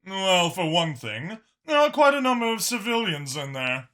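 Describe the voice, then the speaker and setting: A man voicing a powerful, patient dragon senator who explains things in a measured, self-assured way. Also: high-pitched, sing-song, pushed and bright, voice pushed up, full character voice.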